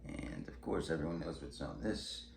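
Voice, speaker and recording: Older man, low-pitched voice, rambling casual vlog chat, blunt and chuckly, roomy echo. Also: big pitch swings, moderate pace. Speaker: host of a music collecting vlog. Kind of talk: casual show-and-tell chat. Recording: roomy echo with clear background noise.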